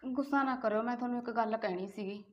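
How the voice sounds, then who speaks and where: A woman's voice: a little high, quick pace, lo-fi sound, close to an everyday voice. A woman playing a distressed lady of the house in a short film, complaining and pleading with a Punjabi accent. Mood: cautious. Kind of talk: naturalistic short film dialogue.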